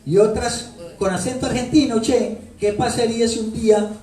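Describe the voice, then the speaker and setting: A man's voice: extremely high pitch, steady tone, moderate pace, dark tone. A man with a Colombian paisa accent, an author speaking playfully to an audience at a book launch, repeating phrases for effect as he tells stories. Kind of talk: animated talk to an audience. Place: bookstore event room. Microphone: handheld microphone. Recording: echoey room from far off.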